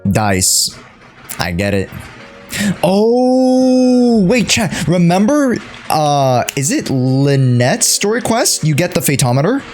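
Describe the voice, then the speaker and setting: A man livestreams a video game with casual, slangy commentary. His pitch jumps around a lot as he reacts out loud, and game music plays faintly behind him. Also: wildly swooping pitch, slow pace. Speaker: livestreaming gamer. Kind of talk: casual gameplay commentary to a live chat.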